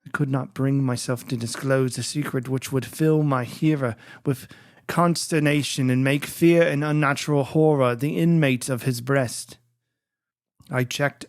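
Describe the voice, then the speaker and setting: A narrator reads gothic literary prose at a slow, deliberate pace. The delivery is formal and measured, captured very close and dry, with a medium-pitched voice. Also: darkish tone, no room sound. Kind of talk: scripted dramatic audiobook narration.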